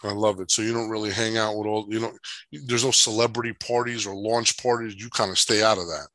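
A man's voice: fairly low pitch, fairly even intonation, quick pace, pushed and bright, no echo. A man hosting a casual interview, rambling through long analogies with many fillers and restarts. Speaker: podcast host.

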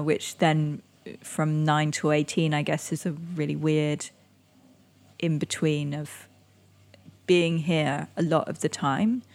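A young woman who grew up in Indonesia, answering interview questions in a reflective way with many pauses and soft laughs. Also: low-pitched, creaky. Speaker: interviewee, climate activist. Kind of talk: conversational interview.

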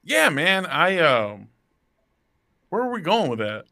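Bright-voiced joking man in his thirties. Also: fairly high pitch, big pitch swings, slow pace, vocal fry. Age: 30s. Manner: teasing, joking banter. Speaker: podcast co-host. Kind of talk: casual chat.

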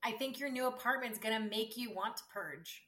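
A woman in her thirties with a raspy voice, co-hosting a podcast in relaxed, wry banter. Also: fairly high pitch, brisk. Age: 30s. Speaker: podcast co-host. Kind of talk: casual chat.

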